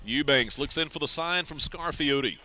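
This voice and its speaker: A man calling baseball play-by-play on the radio in a live sports commentary style, with measured, deliberate phrasing. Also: a little high, sharp tone.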